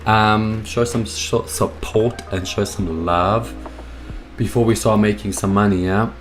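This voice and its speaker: A young man with a hoarse, froggy voice from a cold, hosting a casual live stream from his home office, hyped up and greeting viewers in the chat by name. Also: lowish voice, fairly even intonation, measured pace, faint music behind. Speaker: streaming host.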